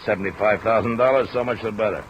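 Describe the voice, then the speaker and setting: An actor playing a gruff, defensive ship's captain in a 1950s radio drama, blunt, irritable and sure of himself. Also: male voice, lowish voice, fast talker, natural voice. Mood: blunt, bitter. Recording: dull old radio recording, rain noise.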